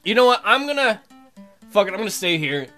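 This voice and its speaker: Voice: medium pitch, sing-song, a little fast, bright, forceful tone, faint music behind. A let's play host reading game text aloud with bawdy, joking, playful asides.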